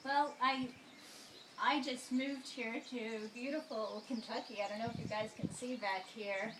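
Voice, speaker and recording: A woman in her fifties speaking calmly and with measure, her voice a little higher than usual. She is captured from a distance in an echoey room. A constant hiss of background noise runs underneath. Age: 50s. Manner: calm, measured explaining. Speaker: interview guest. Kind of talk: conversational interview answers. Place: in her home. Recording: roomy, distant, noisy recording.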